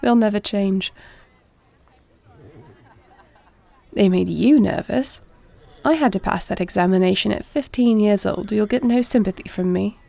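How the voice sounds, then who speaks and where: Woman acting a creaky-voiced, formal warrior princess. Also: mid-range voice. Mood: amused, teasing. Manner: formal, measured, soft-spoken speech. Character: warrior princess wife, duty-bound, caring, wry, formal. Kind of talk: audio roleplay acting, addressing the listener.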